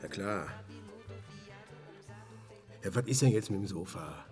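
A man acting in a German radio drama as a harried, evasive murder suspect, speaking in a halting, colloquial way. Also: low-pitched, expressive, darkish tone, faint music behind. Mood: sheepish, halting. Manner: halting, colloquial, stammering and trailing off.

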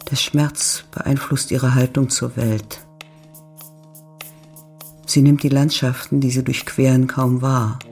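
A man's voice: medium pitch, flat delivery, takes it slowly, pauses often, a little mellow. Man narrating a literary audio drama. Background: music.

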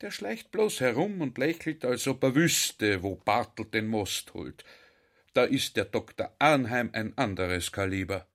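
An actor playing a talkative, earnest army general in a radio drama, confiding and baffled by ideas. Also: male voice, mid-range voice, animated, unhurried, fairly bright tone. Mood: admiring, confiding.

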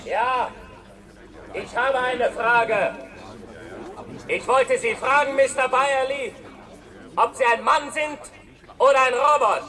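A taunting high-voiced heckler. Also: male voice, slow, long pauses, sharp tone, some chatter behind, full character voice. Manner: taunting, goading. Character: scruffy male heckler, mocking and provoking.

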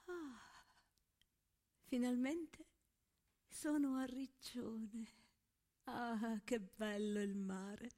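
A woman's voice: a little high, extremely slow, long pauses, mellow. A woman playing an airy, self-absorbed married lady in a chatty, sighing dramatic monologue. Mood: contented, fussing. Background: music.

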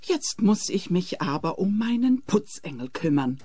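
Man doing a coy high woman's voice, swooping wildly, teasing, radio play. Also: sing-song, measured pace, mellow, slight background noise.

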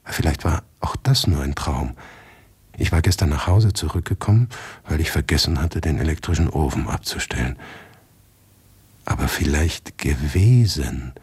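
Male radio drama narrator, low husky voice, very expressive, intense, hushed to agitated, dry close sound. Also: normal speed, dry recording. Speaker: actor narrating a radio play in the first person. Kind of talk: dramatic literary radio monologue.

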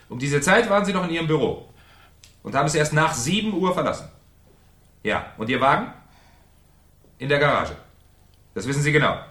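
An actor playing a shrewd, insistent police commissioner in a 1970s German radio crime play, formally polite, probing and sardonic. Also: male voice, a little high, pushed and bright, slightly rough, exaggerated voice. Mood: brisk, formal. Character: shrewd, insistent male French police commissioner interrogating suspects.

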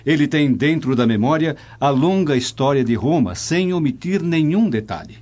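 A man's voice: on the high side, animated, moderate pace, darkish tone, lo-fi sound. A man playing a proud, admiring Roman nobleman and father in a radio drama.

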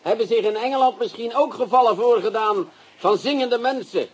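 A bossy, sly police commissioner. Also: male voice, extremely high pitch, breathy edge, highs cut off. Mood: brisk. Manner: brisk, commanding, sly. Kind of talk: radio drama acting.

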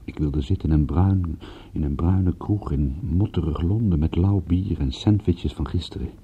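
An actor playing a world-weary, reluctant private detective who tells his story in a brooding, measured radio drama narration with a husky voice. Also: low voice, exaggerated voice. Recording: muffled, phone-like old radio play recording.

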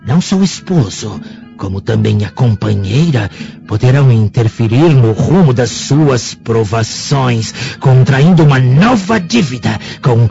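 A man's voice: medium pitch, creaky, mellow. An actor playing a sly, sinister male sorcerer who foretells in a mocking, ominous, theatrical manner in a radio drama. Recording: dull lo-fi radio drama recording.

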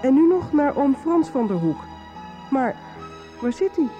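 A woman's voice: sits high, big pitch swings, unhurried, muffled recording. A woman giving scripted, dramatic recap narration for a radio soap. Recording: music behind the voice.

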